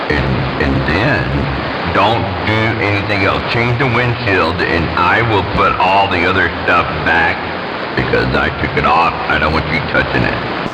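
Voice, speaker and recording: Deep-voiced man on very noisy CB radio. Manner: rambling, colloquial, profane. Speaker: CB radio operator. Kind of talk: casual storytelling over CB radio.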